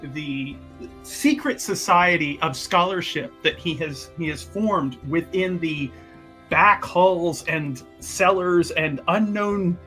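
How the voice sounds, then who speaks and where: A man's voice: on the high side, slow, sharp tone, soft background music. A man playing a tabletop game, casually recapping what his character has been up to, often stopping to search for words and restarting.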